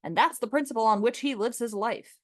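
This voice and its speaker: A woman's voice: a little high, a little fast, no echo, studio quality, natural voice. A woman playing an opinionated, sardonic young man in a radio play, lecturing in an emphatic, mocking way. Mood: scornful lecturing.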